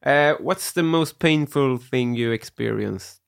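A male interviewer who asks relaxed questions and keeps up brief agreeing murmurs. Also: mid-range voice, a little slow, gravelly, clear, resonant tone.

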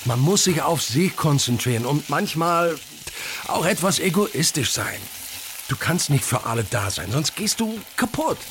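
A man's voice: on the high side, very animated, unhurried. A man playing a worried, pragmatic middle-aged father who admonishes his grown daughter in an audio drama. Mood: worried, admonishing. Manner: admonishing, switches briefly into English. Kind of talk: audio drama acting, family argument. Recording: loud sizzling kitchen noise behind.

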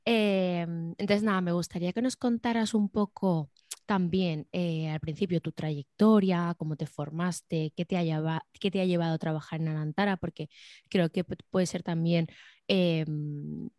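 A woman's voice: lowish voice, fairly even intonation, moderate pace, a little mellow, no room sound, studio quality. A woman from hosting a conversational podcast interview, chatty and feeling her way through her phrasing. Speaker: podcast host.

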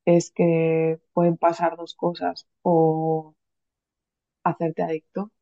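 A woman hosts a chatty interview, talking slowly in a lowish, mellow voice. The sound is dry and dull, a lo-fi video call recording. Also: monotone, no room sound. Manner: chatty, enthusiastic. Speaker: podcast host. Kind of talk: casual interview.

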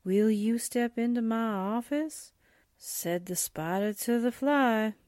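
Woman playing a slow, wisecracking secretary sidekick. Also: on the high side, a little mellow, dry recording, studio quality. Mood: wry. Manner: wry, theatrical. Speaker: actor in an audio drama. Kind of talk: 1940s radio drama acting.

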